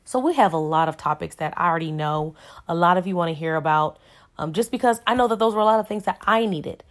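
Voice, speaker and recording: A woman in her thirties with a somewhat low-pitched voice talks to her podcast listeners about faith. Her delivery is inspirational and earnest. She leans on key words and repeats phrases in the manner of a preacher. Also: animated, quick pace. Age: 30s. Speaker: podcast host.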